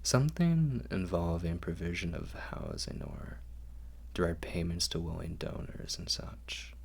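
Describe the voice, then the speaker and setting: A man playing a refined, doting, fastidious vampire lord in an intimate ASMR roleplay, speaking formally and coaxingly in a gravelly, often whispery voice. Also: low voice, big pitch swings, full character voice. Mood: wry, musing. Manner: formal, measured, softly coaxing.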